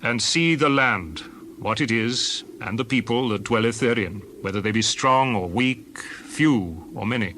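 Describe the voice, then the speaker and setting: Moses, bright forceful biblical leader. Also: male voice, medium pitch, unhurried. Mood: commanding. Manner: declamatory, commanding. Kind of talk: dramatized scripture reading. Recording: clear background noise with birds.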